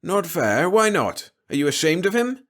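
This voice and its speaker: A man's voice: high-pitched, sing-song, moderate pace, voice pushed up. A man voicing a grumpy, pompous, disapproving middle-aged father in a storybook reading. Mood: stern. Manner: blustering, pompous.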